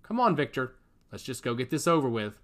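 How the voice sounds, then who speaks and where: A man's voice: mid-range voice, big pitch swings, fairly quick, a bit bright. A man voicing a guarded adult woman scientist in an audiobook story, talking firmly and clipped, sometimes harsh. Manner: firm and clipped, turning harsh. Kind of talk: audiobook character voice.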